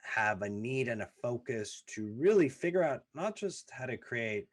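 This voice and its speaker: A man's voice: medium pitch, lively intonation, moderate pace. A man in his thirties hosting a podcast, telling his family's immigration story in an earnest, emphatic way, stacking lists and repeating phrases for weight. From former Soviet Union, raised in the US. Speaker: podcast host. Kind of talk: personal storytelling monologue.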